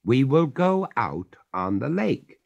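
A man's voice: medium pitch, sing-song, takes it slowly, dull audio, pitched above the performer's own voice. A man voicing a stern old master who speaks with firm, dry courtesy. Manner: firm, courteous, coldly ironic.

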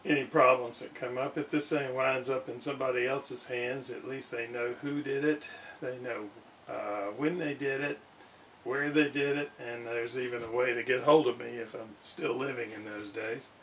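A man giving a casual hands-on demonstration, speaking haltingly as he thinks aloud in an indoor workshop. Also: medium pitch, fairly even intonation, fairly bright tone. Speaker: radio restorer, video host. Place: indoor home workshop at a desk. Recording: distant mic with background noise.

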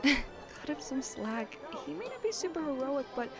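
A woman's voice: sits high, big pitch swings, normal speed, over music. A woman playing an easygoing, capable young adventurer in an audio drama. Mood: placating.

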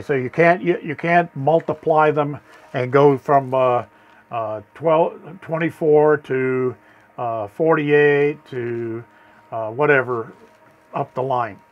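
A man's voice: fairly high pitch, lo-fi sound. A man on his homestead channel, showing step by step how he wires a solar setup, often stopping to ask "okay?" and repeating words. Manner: folksy, repeats words, asks "okay?" after steps. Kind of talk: hands-on how-to demonstration. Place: small solar battery shed, indoors.

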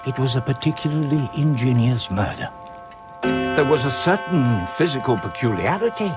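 A man's voice: mid-range voice, lively intonation, moderate pace, loud music behind the voice, close to an everyday voice. An actor playing an older man musing darkly on murder in a radio mystery play. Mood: brooding, ominous. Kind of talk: radio mystery drama monologue.

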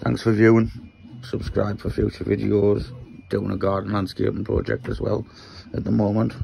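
Croaky Geordie man in a shed. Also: lowish voice, monotone, normal speed, dark tone, slight background noise, rough recording. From Newcastle, England. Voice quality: croaky, gravelly voice. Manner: rambling, explains while handling parts. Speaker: maker vlogger. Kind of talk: casual workshop walkthrough. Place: small wooden shed workshop, indoors. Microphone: handheld phone.

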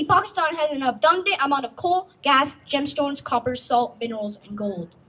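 High-voiced young speaker, earnest recital. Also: female voice, a bit bright, low-quality recording. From United States. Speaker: youth speaker. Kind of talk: prepared speech read aloud. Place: outdoor stage in a park.

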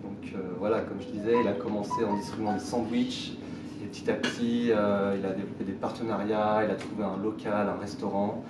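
A man in his thirties, a mentor to social entrepreneurs, speaking in an interview with fillers and restarts, often trailing off softly. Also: on the low side, flat delivery, brisk. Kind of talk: conversational interview. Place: small bright indoor room. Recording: echoey room, distant mic, noise.

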